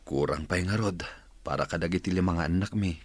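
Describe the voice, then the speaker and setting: A man's voice: deep voice, brisk, dry recording. An actor playing a weary, struggling working-class husband and father in a radio drama. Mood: burdened, plaintive. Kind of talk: radio drama acting in dialogue. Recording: radio drama with loud music behind. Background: loud background music.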